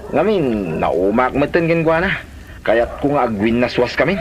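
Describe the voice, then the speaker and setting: A man with an Ilocano Filipino accent playing a smooth-talking suitor in a radio drama. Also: medium pitch, sing-song, unhurried, noisy background. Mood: confiding.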